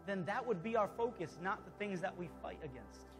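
A man's voice: high-pitched, expressive, fairly quick. A man in his thirties preaching a sermon in a fervent, exhorting way from a church stage. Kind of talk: sermon with pauses for interpreter. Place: church stage before a congregation. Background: worship music.

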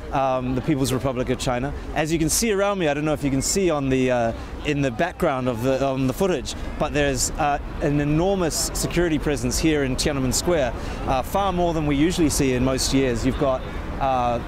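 A man in his thirties answers questions as a correspondent, standing outdoors in a big public square. He speaks at a medium pitch, thoughtful and explanatory, often restarting his sentences. His lapel mic picks up clear outdoor noise around him. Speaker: bureau chief, correspondent. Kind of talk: on-location news interview.

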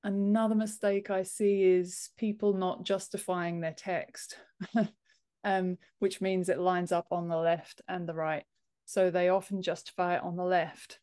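A woman's voice: lowish voice, flat delivery, measured pace, warm tone, clear, resonant tone. A woman in her thirties speaking as an interview guest, informal and emphatic, on a video call. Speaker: podcast guest, author and book formatter. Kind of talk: casual interview explanation. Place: home office room, indoors. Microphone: earphone headset on a video call.

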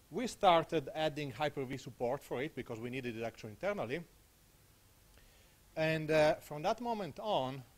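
Italian-accented man giving a tech talk. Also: mid-range voice. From Italy. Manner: conversational, tags points with "okay?". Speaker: conference speaker. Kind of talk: technical conference talk. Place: small conference room with audience. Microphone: handheld microphone.

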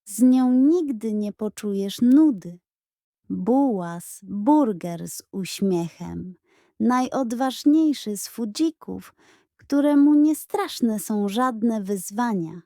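A woman storyteller reading a scripted children's bedtime story, articulate and expressive. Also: fairly high pitch, takes it slowly, no room sound, clean studio sound.

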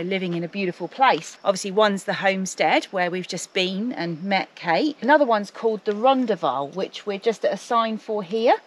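A woman's voice: mid-range voice, a bit bright. A middle-aged woman chatting casually and enthusiastically as she shows viewers around on a walk-through tour. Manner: enthusiastic, easily impressed. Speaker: vlogger showing viewers around. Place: walking outdoors and through open bush shacks. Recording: noisy on-the-move camera audio.

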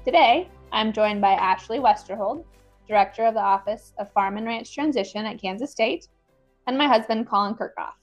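A woman hosts the podcast, reading a friendly prepared introduction. Her voice sits somewhat high, and soft music plays beneath it. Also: normal speed, highs cut off. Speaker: guest podcast host.

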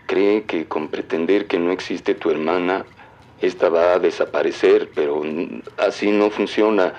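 A man playing an obsessed, secretive middle-aged father, speaking gravely and deliberately on a cassette recording. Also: low-pitched, steady tone, a little mellow, highs cut off. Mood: grave, obsessive. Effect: cassette tape playback. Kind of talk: brooding audio fiction monologue.

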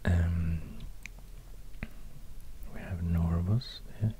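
A man with a Swedish accent, a native Swedish speaker, speaking soft ASMR narration in a halting, musing, breathy near-whisper. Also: sits low, fairly even intonation. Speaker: ASMR video creator. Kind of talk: soft-spoken ASMR narration while drawing. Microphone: close binaural microphone. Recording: audible noise, soft clicks.

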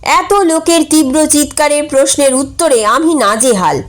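A woman's voice: high-pitched, normal speed. A woman reading a humorous short story aloud as a scripted narration.

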